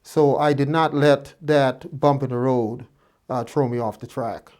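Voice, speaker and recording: Guyanese-born doctor, reflective storyteller. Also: male voice, medium pitch, fairly even intonation, unhurried, darkish tone. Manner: reflective, frequent uh fillers and restarts. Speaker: doctor interviewed by students. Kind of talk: relaxed personal storytelling.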